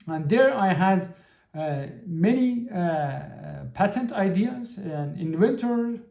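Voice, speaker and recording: A man in his thirties hosts a solo podcast, his voice fairly high but dark and mellow. He speaks haltingly, repeating words and restarting his sentences as he hunts for the right phrase. The room around him rings with echo. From Iran. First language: Persian. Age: 30s. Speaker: podcast host.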